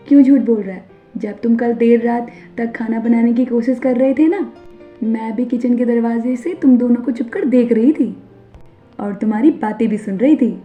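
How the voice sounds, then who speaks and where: A woman voicing a stern middle-aged mother-in-law in an animated moral story, scolding sharply and emphatically. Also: a little high, close to an everyday voice. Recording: narrow phone-like sound over music.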